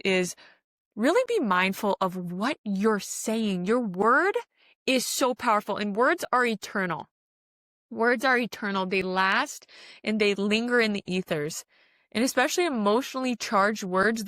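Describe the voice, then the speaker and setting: A woman with a medium-pitched voice records a solo spiritual podcast close to the mic in a very dry space. She speaks in earnest, exhorting the listener and repeating key phrases so that they land. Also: a bit bright, dry recording. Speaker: podcast host. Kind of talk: spiritual self-help podcast monologue.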